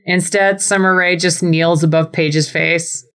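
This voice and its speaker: A woman's voice: lowish voice, fairly even intonation, unhurried, a bit bright, smooth. A woman hosting a wrestling podcast, recapping and chatting casually in a sweary, playfully sarcastic, enthusiastic way.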